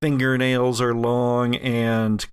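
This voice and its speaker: A man narrating a tabletop horror game as game master, with halting, deliberate scene-setting. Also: mid-range voice, flat delivery, clean tone, no echo, clean studio sound.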